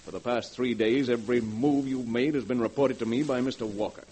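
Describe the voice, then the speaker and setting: An actor playing a smug, cynical wealthy businessman in 1940s radio drama style, sardonic, condescending and deliberate. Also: medium pitch, steady tone, darkish tone, husky, soft background music, natural voice. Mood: mocking. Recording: muffled vintage 1940s radio recording.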